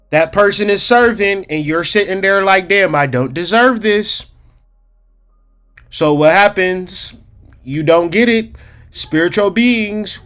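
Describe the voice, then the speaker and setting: A man hosting a casual livestream about psychology, streetwise and slangy, talking straight to his chat and slowing down to stress key points. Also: high voice, fairly bright tone, clean tone. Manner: emphatic, slows down to stress points. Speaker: livestream host.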